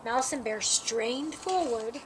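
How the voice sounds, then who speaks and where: A woman reading a children's story aloud as an expressive narrator. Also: a little high, slow, slight background noise, poor audio. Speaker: storybook reader.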